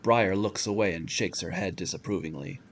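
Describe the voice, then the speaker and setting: A man's voice: sits low, moderate pace, slight background noise. A man narrating a scripted audio drama in descriptive storytelling prose. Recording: loud music under the voice.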